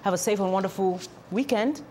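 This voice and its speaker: A woman hosting an interview show, asking measured questions and signing off the broadcast. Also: medium pitch, darkish tone, a little hiss or hum.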